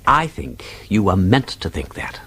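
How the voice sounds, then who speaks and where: An actor playing a witty, inquisitive gentleman sleuth in a radio drama, with an upper-class English accent and an urbane, argumentative, theatrical manner. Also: deep voice, sing-song, unhurried, slightly rough, heavily acted. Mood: keen, persistent. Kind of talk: British radio drama acting.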